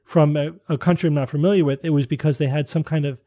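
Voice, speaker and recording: A man's voice: fairly high pitch, steady tone, fast, mellow, no room sound, muffled recording. A man with a Southwestern Connecticut accent, hosting a podcast in casual, rambling panel chat. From Connecticut, USA. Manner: rambling, chatty, restarts often. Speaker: podcast host.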